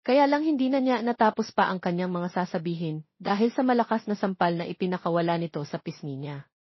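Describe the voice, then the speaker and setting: A woman's voice: on the low side, animated, brisk, no room sound, lo-fi sound. A woman narrating romance fiction as a scripted, dramatic story reading.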